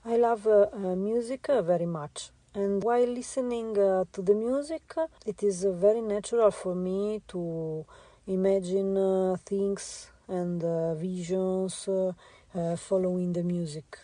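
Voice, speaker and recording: A woman with an Italian accent, a visual artist answering interview questions in a halting way with many filler sounds. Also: mid-range voice, fairly even intonation, mellow, no room sound. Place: radio studio.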